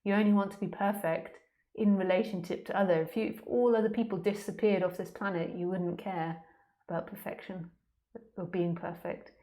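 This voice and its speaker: A woman's voice: medium pitch, steady tone, normal speed, warm tone. A woman speaking as a spiritual teacher in an informal question-and-answer talk, candid, plain-spoken and conversational.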